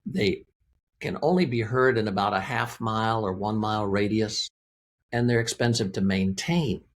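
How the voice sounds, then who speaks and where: A man's voice: fairly low pitch, moderate pace. An American man explaining things as an interview guest, in an emphatic, folksy way with plain wording and repeated key phrases. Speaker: interview guest, weather radio company representative.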